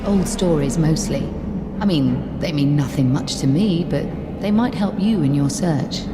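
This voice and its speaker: A woman's voice: sits low, unhurried. An actress playing a driven, intense female scholar in a fantasy video game, searching for her lost family. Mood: wry, absorbed. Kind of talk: dramatic video game dialogue. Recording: echoey hall reverb, music behind.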